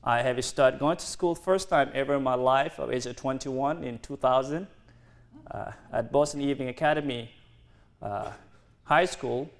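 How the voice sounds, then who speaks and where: A man in his twenties from South Sudan, a native Dinka speaker, giving an earnest university talk in halting, non-native English with restarts. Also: mid-range voice, creaky. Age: 20s. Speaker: guest speaker. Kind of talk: university lecture.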